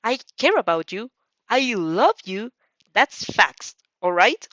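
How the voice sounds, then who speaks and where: A woman's voice: medium pitch, big pitch swings, slow, a bit bright, no room sound, lo-fi sound. A woman playing an exasperated but caring teenage girl who scolds emphatically in a fanfiction audio drama. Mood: emphatic, exasperated.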